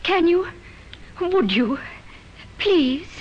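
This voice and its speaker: An actress playing a genteel, fluttery widow in an old radio drama, hesitant and plaintive in manner. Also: female voice, very high voice, very animated, drawn-out words, full character voice. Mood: nervous, pleading. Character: genteel, fluttery widow under suspicion of murder. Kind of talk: 1950s radio drama acting. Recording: dull, noisy old radio recording.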